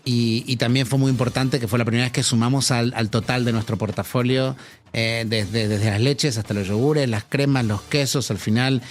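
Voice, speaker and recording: A man's voice: mid-range voice, fairly even intonation, normal speed. A middle-aged man from Argentina with an Argentine accent, a marketing executive answering a podcast interview in a thoughtful way, with many fillers and restarts.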